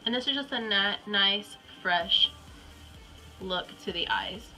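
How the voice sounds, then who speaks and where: Very bright-voiced stuffy young woman. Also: mid-range voice, slow pace, soft background music, rough recording. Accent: Southern US. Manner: chatty, rambling, with frequent ums and likes. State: stuffy and congested with a cold. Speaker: beauty vlogger. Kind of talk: casual makeup tutorial.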